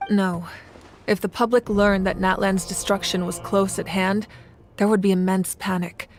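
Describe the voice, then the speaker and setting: An actress plays a dignified female ruler in a fantasy game. Her mid-pitched voice is measured and commanding, with warmth and resolve, over faint music. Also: fairly even intonation, lightly acted. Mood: resolute. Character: composed, commanding female nation leader, warm and resolute. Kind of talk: anime video game voice acting.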